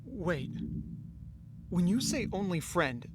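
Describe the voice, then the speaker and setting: A man's voice: high-pitched, sing-song, slow pace, heavily acted. A man playing an earnest, lovestruck and stubbornly determined ordinary guy in an amateur audio drama, emotional, hesitant and then insistent. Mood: subdued, sympathetic.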